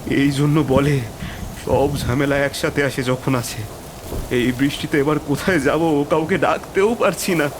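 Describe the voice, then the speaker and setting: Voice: medium pitch, fairly even intonation, a little slow, noisy background, lightly acted. An actor playing a terrified young friend trapped in a haunted house in an audio horror story, anxious and hesitant. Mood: uneasy, despairing.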